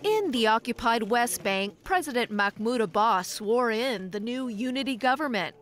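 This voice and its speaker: A woman's voice: on the high side, expressive, moderate pace, fairly bright tone. A woman narrating a scripted news report as a television reporter.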